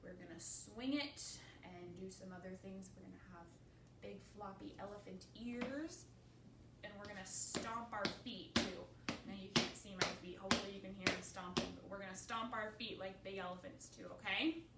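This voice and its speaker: A woman's voice: mid-range voice, fairly even intonation, normal speed. A woman leading a children's storytime as librarian, giving simple encouraging instructions and putting questions to young listeners. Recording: roomy, distant mic, faint noise.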